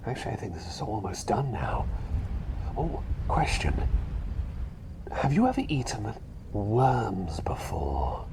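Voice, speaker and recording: A man voicing a kindly, scatterbrained bird doctor in an ASMR roleplay, rambling soothingly between soft talk and breathy whispers. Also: fairly low pitch, wildly swooping pitch, slow pace, pauses often, noisy background, exaggerated voice. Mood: curious, musing. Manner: rambling, soothing, whimsical, often dropping to a whisper. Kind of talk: ASMR roleplay, personal attention.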